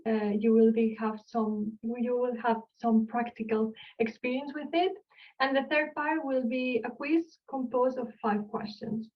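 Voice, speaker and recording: A woman's voice: a little high, distant mic, muffled recording. A woman from Barcelona with a Spanish accent, an archaeologist explaining her field in an online lecture and asking the audience questions. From Spain, Barcelona. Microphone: video call.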